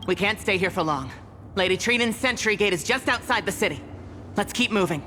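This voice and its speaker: An actress playing a commanding, vigilant soldier in a video game, firm and authoritative in delivery. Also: female voice, medium pitch, lively intonation, a little fast, sharp tone, a little hiss or hum. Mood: urgent. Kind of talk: scripted video game voice acting.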